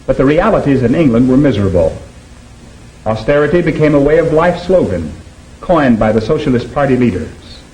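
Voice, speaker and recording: A man narrating a 1950s documentary film in a steady, grave, explanatory tone. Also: mid-range voice, a little fast, rough recording.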